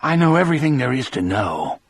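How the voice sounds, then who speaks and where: A man's voice: medium pitch, sing-song, a little fast, husky, voice pushed up. A man voicing a troubled, earnest middle-aged prison guard in an audiobook. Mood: anguished. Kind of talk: audiobook character dialogue.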